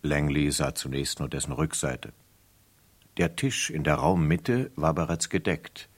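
A man narrates a scripted radio drama. His voice is exceptionally low, and he goes slowly and gravely, measuring out each phrase.

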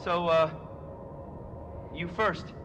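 An actor playing a cocky, short-tempered host of an amateur TV show in a video game, brash and self-important. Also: male voice, on the high side, steady tone, drawn-out words, music in the background. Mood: bossy. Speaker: TV show presenter character. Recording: noisy found-footage game audio clip.

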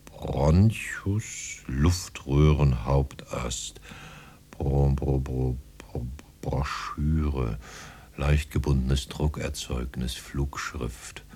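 Ein Mann spielt in einem Hörspiel einen erregbaren, beschwatzenden Dichter mit behauchter, rauer Stimme und ausdrucksvoller Sprechweise. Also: male voice, very deep voice, full character voice. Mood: puzzled, muttering. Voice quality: husky, breathy. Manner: expressive, cajoling, muttering to himself at times. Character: excitable, cajoling male poet keen on gadgets. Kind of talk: conversational radio drama acting.

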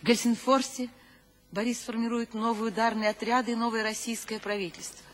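A woman's voice: on the high side, level tone, brisk, a bit bright, slight background noise, lightly acted. Grave, imperious woman conspirator, played by an actress. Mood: ominous, persuasive. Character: solemn, commanding female poet and anti-Bolshevik conspirator. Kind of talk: theatrical radio drama acting. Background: music.

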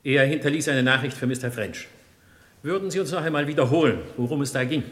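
Actor as a composed, probing defence lawyer. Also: male voice, medium pitch, lightly acted. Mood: probing, formal. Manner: formal, deliberate, probing. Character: composed, resolute male defence lawyer in a murder trial. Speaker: actor in crime radio play. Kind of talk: classic German crime radio drama acting.

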